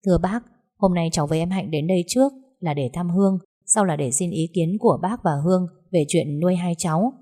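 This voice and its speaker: A woman's voice: fairly low pitch, fairly quick, mellow, close to an everyday voice. A woman voicing a composed former sister-in-law who speaks politely and deferentially. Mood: measured.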